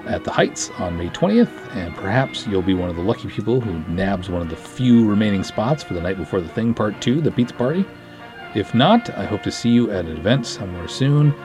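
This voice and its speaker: A man's voice: fairly low pitch, big pitch swings, brisk, over music. A man hosting a podcast, chatting casually as he addresses his listeners. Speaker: podcast host. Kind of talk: casual show sign-off.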